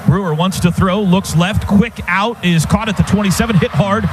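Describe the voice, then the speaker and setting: An American man calling football play-by-play live on radio in clipped running phrases that build to shouts on big plays. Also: high voice, normal speed. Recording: noisy stadium radio broadcast.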